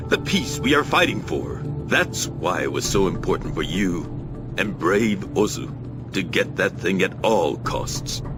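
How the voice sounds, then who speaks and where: Game cutscene role: solemn, commanding order leader, deep register, gravel in the voice, rhetorical delivery. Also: male voice. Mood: indignant, intense. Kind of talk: dramatic video game cutscene acting. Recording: loud background music.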